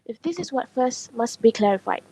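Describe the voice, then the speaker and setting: A woman's voice: on the high side, expressive, a little fast, dark tone. A young woman playing a humble old woman messenger of ancient Greece in an amateur radio drama. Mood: solemn, polite. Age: young adult. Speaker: student actor.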